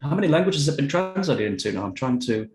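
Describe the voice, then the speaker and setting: A man's voice: medium pitch, sing-song, fast, echoey room, a little hiss or hum. A man moderating a book talk, modestly reading an English translation aloud and asking questions. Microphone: video call.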